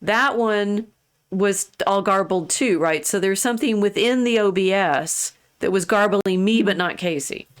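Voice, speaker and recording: A woman's voice: medium pitch, measured pace, smooth. A woman in her sixties hosting a podcast in casual chat, rambling and restarting her sentences, with frequent laughs. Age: 60s. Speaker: podcast host.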